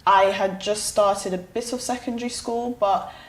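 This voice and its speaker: A woman's voice: mid-range voice, steady tone, normal speed. Young adult woman, from ghana, interview guest, chatty storyteller, roomy sound. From Ghana, raised in England. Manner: chatty storyteller, laughs often. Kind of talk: casual interview chat.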